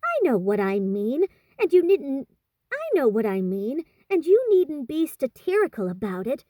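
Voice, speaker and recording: A woman's voice: high voice, wildly swooping pitch, unhurried, dark tone, no echo, clean studio sound, voice pushed up, full character voice. A woman voicing a fussy, proper young girl in a storybook, pert and full of wounded dignity. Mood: indignant. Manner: pert, indignant, affectedly prim.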